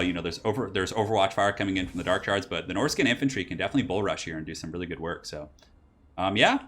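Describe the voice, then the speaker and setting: A young man casting a strategy game match solo, casual and rambling, his voice slightly raspy from allergies. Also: mid-range voice, fairly bright tone. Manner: rambling, casual, laughs and exclaims at plays. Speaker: esports caster and channel host.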